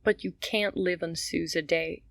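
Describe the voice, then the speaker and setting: A woman's voice: fairly low pitch, steady tone, measured pace, no room sound, clean studio sound. A woman voicing a poor young mother, anxious and pleading, desperate yet proud. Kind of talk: amateur radio play acting.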